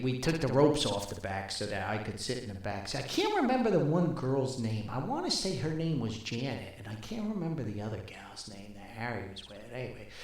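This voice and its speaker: Super echoey recording, older guy telling rambling folksy stories, voice goes up and down a lot. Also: mid-range voice. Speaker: podcast host. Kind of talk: casual anecdotal storytelling. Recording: very echoey, reverberant room sound.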